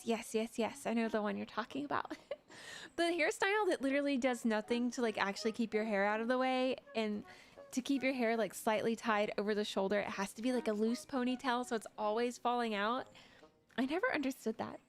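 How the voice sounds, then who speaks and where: A woman's voice: fairly high pitch, fairly quick. A young woman streamer chatting casually with her viewers, giggly and talkative. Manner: giggly, chatty, talks to chat. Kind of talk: casual livestream chat.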